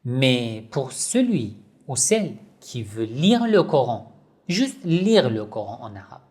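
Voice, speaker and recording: Slow, emphatic man teaching online. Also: mid-range voice, big pitch swings, darkish tone. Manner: slow, repetitive, emphatic explaining. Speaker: online Islamic institute teacher. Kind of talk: slow instructional video talk.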